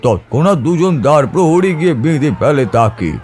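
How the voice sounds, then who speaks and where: A male narrator tells a horror tale with theatrical suspense. His voice sits fairly high and swings widely in pitch, with a faint music bed underneath. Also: sing-song, moderate pace, a little mellow. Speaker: story narrator. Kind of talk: dramatic scripted horror narration.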